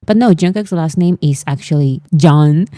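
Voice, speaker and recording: A woman's voice: sits low, moderate pace, warm tone, no room sound, studio quality. A woman whose first language is Spanish, commenting casually on reaction videos with joking, opinionated asides.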